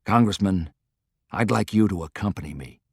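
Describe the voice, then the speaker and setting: A man voicing a quiet, decisive general in an audiobook, clipped, understated and commanding. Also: low-pitched, lively intonation, normal speed, slightly rough, no room sound, clean studio sound, full character voice. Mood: brisk, commanding. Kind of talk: audiobook character dialogue.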